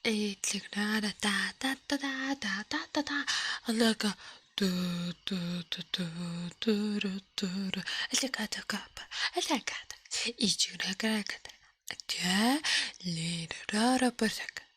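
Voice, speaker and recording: A woman hosting an ASMR livestream, speaking mostly in a breathy whisper close to the microphone, with playful drawn-out delivery. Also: medium pitch, a bit bright. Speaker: livestream host.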